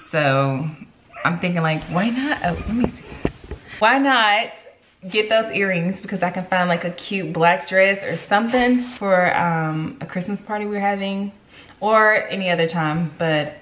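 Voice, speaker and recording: An echoey room with some background noise surrounds a young American woman. She chats casually like a lifestyle vlogger in her kitchen, wandering through stories and asides in an animated way. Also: medium pitch, measured pace. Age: young adult. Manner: chatty, animated storytelling with rambling asides. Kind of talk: casual chat vlog. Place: home kitchen, indoors. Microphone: camera on the counter, about arm's length.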